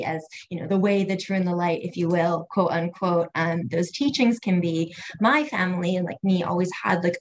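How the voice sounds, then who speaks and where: A woman's voice: on the low side, low-quality recording. An American woman being interviewed on a podcast, speaking thoughtfully with many "you know" and "um" fillers. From United States. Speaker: guest, equity consultant and educator.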